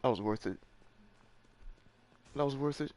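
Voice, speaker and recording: A man's voice: medium pitch, a little slow, a little mellow. A man doing casual reactions as he plays through a game. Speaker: game streamer. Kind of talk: casual let's play reaction.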